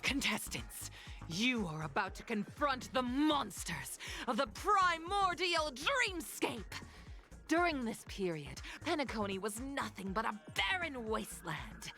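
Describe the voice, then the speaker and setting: Bright, forceful stage announcer. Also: female voice, on the high side, sing-song, unhurried, faint music behind, full character voice. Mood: dramatic, forceful. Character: dramatic female stage narrator introducing monsters to contestants. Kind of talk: theatrical game announcement.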